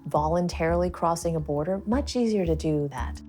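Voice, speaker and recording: Woman, expert, low voice, informative, interview, faint music. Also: a little fast, a little mellow.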